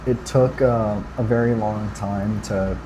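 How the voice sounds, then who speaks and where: A young man being interviewed as a guest, soft-spoken and hesitant, giving short answers. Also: on the low side, fairly even intonation, takes it slowly, a little hiss or hum, poor audio.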